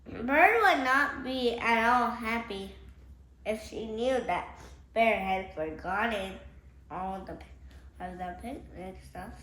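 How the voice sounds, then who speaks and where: Woman storybook reader, slow and halting, slightly high voice, echoey room. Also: a bit bright. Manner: halting, stumbling over words and rereading. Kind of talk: read-aloud children's story. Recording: echoey room, faint background noise.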